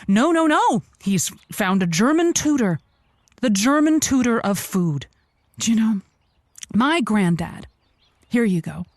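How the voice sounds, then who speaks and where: A woman playing an earnest, rambling advice host on an improvised comedy call-in, halting and theatrically emphatic. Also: on the high side, no echo, studio quality.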